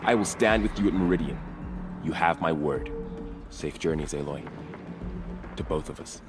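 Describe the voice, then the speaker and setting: A reserved young warrior in a game drama. His voice is deep, with a devout, earnest and slightly hesitant delivery. Music is clear behind him. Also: normal speed. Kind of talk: game cinematic acting.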